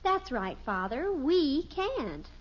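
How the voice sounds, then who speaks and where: Earnest teen girl, extremely high and excitable, muffled vintage radio comedy sound. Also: slow pace, full character voice. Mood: excited. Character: earnest, excitable teenage daughter in family sitcom. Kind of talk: 1950s radio sitcom acting. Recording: muffled old radio recording.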